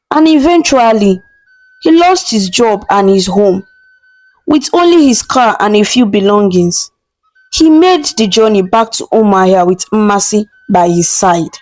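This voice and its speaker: A woman with a somewhat high-pitched voice tells a scripted folktale. Her storytelling is measured and expressive, with faint music playing behind her. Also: rough recording.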